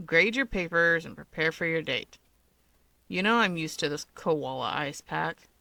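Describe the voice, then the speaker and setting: A gruff, commanding eldest brother, played by a woman reading a story. He is curt and dismissive yet caretaking, with a forceful edge and a dry, close sound. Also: deep voice, expressive, a little slow, no echo, heavily acted. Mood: curt, bossy.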